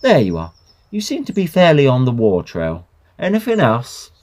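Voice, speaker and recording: A man's voice: mid-range voice, wildly swooping pitch, normal speed, darkish tone. A man playing a hearty, obliging young sporting friend in a Victorian horror drama, with a breezy, casual manner. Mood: cheerful, willing.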